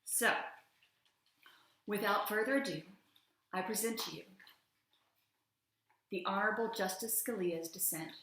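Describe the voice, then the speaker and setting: A woman's voice: medium pitch, a little slow, pauses often, a bit bright. A woman in her thirties giving a theatrical, emphatic dramatic reading of formal legal text into a camera microphone at home. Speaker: host of a video channel, reader. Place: room at home beside a stairwell, indoors. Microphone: camera or laptop microphone at about arm's length. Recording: very echoey home room.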